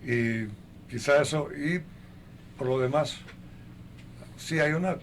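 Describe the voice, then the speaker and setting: An older man being interviewed in a conversational way, speaking haltingly and listing things one by one, with a gravelly voice. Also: fairly high pitch, a little hiss or hum. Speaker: interview guest.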